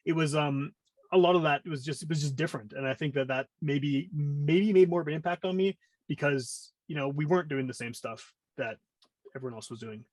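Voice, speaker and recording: Podcast guest: Canadian male, about forty, brisk conversational delivery, mid pitch, dry sound. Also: no room sound. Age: around 40. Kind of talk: casual conversational interview.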